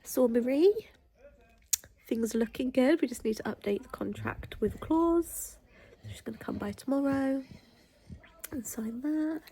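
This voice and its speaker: A woman's voice: high-pitched, expressive, unhurried, pauses often, mellow, breathy edge, low-quality recording. A woman, still under the weather with a cold, chatting casually to her camera and thinking aloud with ums. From United Kingdom. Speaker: self-employed nail tech vlogger. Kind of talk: casual vlog chat to camera.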